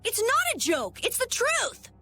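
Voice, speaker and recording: Squeaky, excitable kid. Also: female voice, unhurried, soft background music, full character voice. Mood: alarmed, indignant. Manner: urgent, exclaiming. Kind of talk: video game voice acting.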